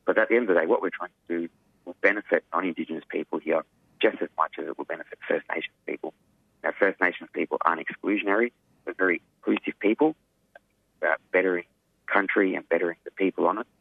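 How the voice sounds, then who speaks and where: A man, an activist guest speaking earnestly in a radio interview, his voice croaky. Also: sits low, quick pace, no echo. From Australia. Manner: earnest, emphatic, frequent "you know" fillers. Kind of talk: radio interview over phone. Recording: tinny phone line, dry.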